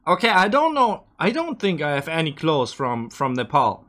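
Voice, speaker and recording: A man's voice: on the high side, huge pitch swings, moderate pace, fairly bright tone. A man from Germany with a German accent, reacting casually and thinking aloud with hesitant restarts. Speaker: reaction video creator.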